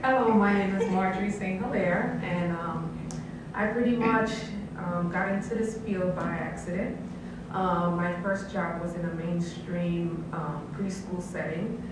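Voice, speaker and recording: A woman's voice: on the low side, measured pace. A woman of Haitian background, a family counselor on a panel, talking earnestly with many um fillers. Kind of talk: unscripted panel talk. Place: college event room. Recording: echoey room, distant mic, background hiss.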